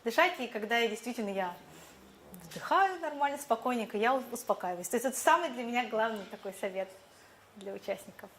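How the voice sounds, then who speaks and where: A woman's voice: on the high side, lively intonation, a little fast, a bit bright, a little hiss or hum. A young woman whose first language is Buryat, a teacher answering jury questions thoughtfully with fillers and restarts. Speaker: teacher competition contestant.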